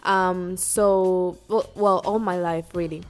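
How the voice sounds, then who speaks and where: A woman from Puerto Rico talks through a relaxed podcast conversation, lively and laughing easily. She trips over her words and restarts her sentences as she explains things. Faint music plays under her voice. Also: fairly low pitch, slow pace. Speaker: podcast co-host. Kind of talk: casual chat. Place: New York.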